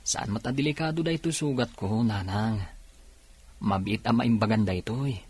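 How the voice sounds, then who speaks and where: A man's voice: fairly low pitch, moderate pace, a little mellow, breathy edge, dry recording. A man acting in a radio drama as an earnest, devoted young policeman. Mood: reassuring.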